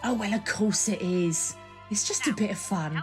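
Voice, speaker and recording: A woman plays an easygoing young granddaughter in an audio drama. Her voice sits at a middle pitch and sounds casual and placating. Faint music is behind her. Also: normal speed, close to an everyday voice. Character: easygoing, teasing grown-up daughter and granddaughter.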